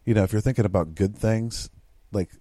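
A man's voice: fairly low pitch, fairly quick, dark tone, dry recording. A man in his forties co-hosting a casual chat, hesitant and rambling, often restarting his sentences. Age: 40s. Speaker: podcast co-host.

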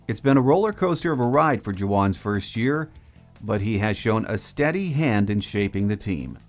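American male sports podcast host, middle-pitched voice, faint music behind. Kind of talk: sports podcast hosting and interviewing.

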